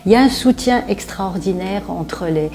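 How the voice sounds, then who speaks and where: A woman's voice: medium pitch, lively intonation, normal speed, over music. A woman writer speaking hesitantly in an interview, searching for her words. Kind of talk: reflective interview testimony.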